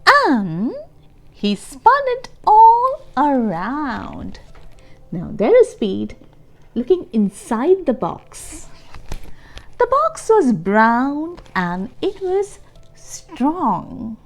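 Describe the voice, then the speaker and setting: A woman reading a picture book aloud for young children in an expressive, deliberate way, stressing key words and adding explanatory asides and questions. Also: high-pitched. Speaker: children's storybook reader.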